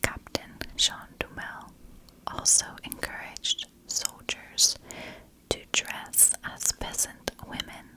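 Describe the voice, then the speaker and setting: Slow whispering woman, ASMR storyteller. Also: rough recording. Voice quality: soft unvoiced whisper. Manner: slow, deliberate, broken into short phrases. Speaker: ASMR storyteller, channel host. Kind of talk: whispered ASMR narration of a historical mystery.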